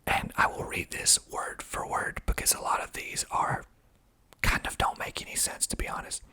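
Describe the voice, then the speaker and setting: A man reading aloud in a whispered ASMR style, deliberate and breathy, with wry, deadpan asides. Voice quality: breathy, mostly unvoiced whisper. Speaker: filmmaker and actor hosting an ASMR video.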